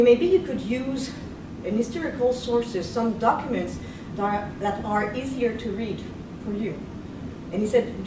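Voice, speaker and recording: A young woman giving a history lecture, partly read from notes, measured and earnest, stopping now and then to rephrase. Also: a little high. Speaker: guest lecturer. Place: lecture room. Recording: echoey room, far mic, background noise.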